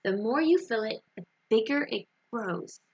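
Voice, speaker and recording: A woman's voice: fairly high pitch, expressive, a little slow, darkish tone, slightly rough, highs cut off, exaggerated voice. A woman voicing a polite, earnest, forgetful little boy in a children's storybook.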